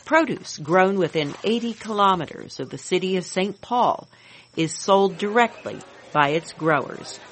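A woman's voice: fairly low pitch, lively intonation, unhurried, slight background noise. A woman with an American accent reading scripted news narration as a reporter.

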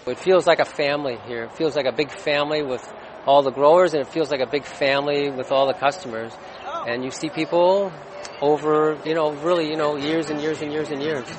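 A man's voice: a little high, steady tone, brisk. A man speaking in interview remarks as a representative of a market. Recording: clear outdoor street noise.

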